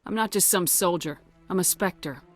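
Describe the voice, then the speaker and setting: A woman's voice: on the low side, normal speed, soft background music, lightly acted. A woman playing a confident military commander in a science fiction video game, terse and assertive. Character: confident, no-nonsense female military commander in space.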